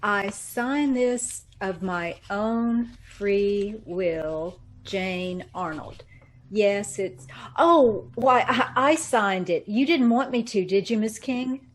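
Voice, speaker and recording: A woman's voice: mid-range voice, takes it slowly. A woman playing a nervous, polite young job seeker in an old-time radio horror play, hesitant and stammering. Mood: hesitant, uneasy.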